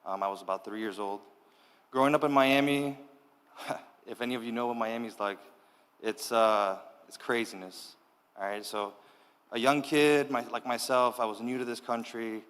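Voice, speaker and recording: A man's voice: mid-range voice, fairly even intonation, moderate pace, a bit bright, clean tone. Cuban-born guy telling his story to teens, laid back, lots of "all right?", echoey hall. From Cuba. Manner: casual, keeps checking in with "all right?". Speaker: youth leader giving testimony. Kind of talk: personal testimony to a youth group. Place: church youth hall.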